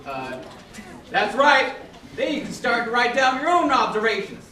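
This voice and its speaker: A man's voice: extremely high pitch, big pitch swings, moderate pace, sharp tone, exaggerated voice. A man voicing a boastful, cheery little limpet in a children's educational puppet show, explaining things theatrically. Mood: explanatory. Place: live indoor show before an open house audience. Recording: echoey hall from far off, audience noise.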